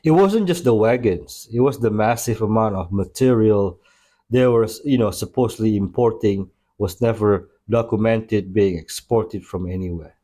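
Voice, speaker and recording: A man's voice: on the low side, moderate pace, dark tone. A man who is not a native English speaker, hosting a reaction video with casual, friendly commentary. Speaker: channel host reacting to clips.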